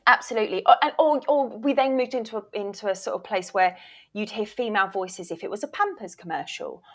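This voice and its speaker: A woman's voice: medium pitch, brisk, fairly bright tone. A woman speaking as an interview guest, explaining things conversationally and emphatically. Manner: emphatic, often voices imagined questions from actors. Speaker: interview guest, voice reel producer and former voiceover agent.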